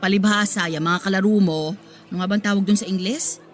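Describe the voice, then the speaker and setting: A woman's voice: mid-range voice, steady tone, brisk, soft background music, close to an everyday voice. A woman playing a hardworking, proud Filipino mother who sells vegetables, pleading and scolding in a radio drama.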